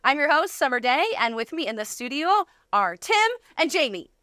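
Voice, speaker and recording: Female host, playful and effusive, higher pitch, dry studio sound. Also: animated, normal speed, sharp tone, no room sound. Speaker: show host. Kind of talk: casual studio interview chat.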